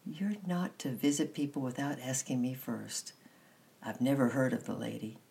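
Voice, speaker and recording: Woman playing a stern storybook aunt, very low. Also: moderate pace, creaky, mellow. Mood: cold, annoyed. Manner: stern, clipped, authoritative. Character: strict, cool adult aunt in an English storybook.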